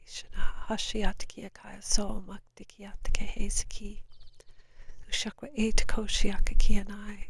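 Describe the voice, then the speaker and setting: Spiritual guide, woman, nasal from a stuffy nose, whispers and chants in a slow, even, dry-sounding delivery. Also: mid-range voice, dry recording. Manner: soft whispered chanting of flowing syllables. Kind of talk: guided light language session. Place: outdoors on a beach.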